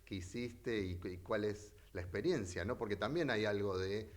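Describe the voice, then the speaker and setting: A man's voice: lowish voice, normal speed. A man co-hosting a casual interview, prompting his guest conversationally and often checking with short tag questions. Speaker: interview show host.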